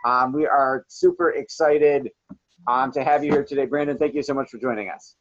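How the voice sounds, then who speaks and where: A man's voice: mid-range voice, steady tone, moderate pace, no echo, lo-fi sound. A man hosting an interview, upbeat and effusive, asking his guest questions. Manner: upbeat, effusive, frequent "awesome". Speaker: podcast host.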